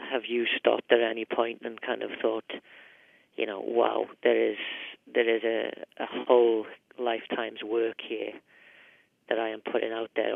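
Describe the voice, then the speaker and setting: A male reporter with a creaky, gravelly voice and little rise and fall asks measured questions. He comes through a thin, dry telephone line. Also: mid-range voice, no echo. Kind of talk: press call questioning.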